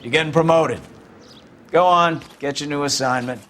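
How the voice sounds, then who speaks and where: A man's voice: a little high, moderate pace, a bit bright, slight background noise, close to an everyday voice. An American man playing a blunt, proud older police captain in a 1940s detective game. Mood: proud, emphatic. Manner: blunt, emphatic, theatrical delivery. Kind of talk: scripted game acting.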